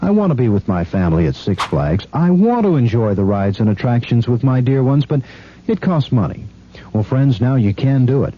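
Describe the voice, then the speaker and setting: A man's voice: sing-song, normal speed. A man announcing a radio commercial in the manner of a mock sermon, exhorting listeners. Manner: preacherly, exhortatory. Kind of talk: mock-sermon advertising pitch. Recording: muffled radio recording, faint rumble.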